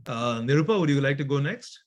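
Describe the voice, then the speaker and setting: A man's voice: medium pitch, lively intonation, brisk. A man hosting an interactive online workshop over a video call, chatty, with stammered restarts and easy laughter. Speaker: workshop host.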